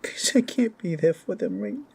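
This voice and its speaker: A man's voice: a little high, huge pitch swings, normal speed, darkish tone, slightly rough, heavily acted. A man playing an anxious, grieving raccoon father in a fantasy roleplay, speaking in a hesitant, emotional way. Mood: distraught. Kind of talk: dramatic roleplay audio acting. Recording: faint storm noise behind. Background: thunder and storm ambience.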